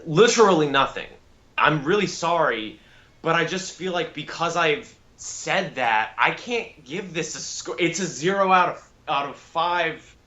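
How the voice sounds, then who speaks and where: A man co-hosting a podcast in casual, blunt chat, halting and repeating words emphatically, on slightly dull audio. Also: fairly high pitch, animated, sharp tone. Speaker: podcast co-host reviewing audio dramas. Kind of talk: casual, sweary review chat.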